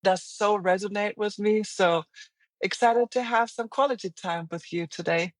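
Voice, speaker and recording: A woman's voice: medium pitch, dry recording. German-accented woman, earnest and reflective. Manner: reflective, earnest, speaks in halting restarts. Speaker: guest, finance executive. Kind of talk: interview conversation.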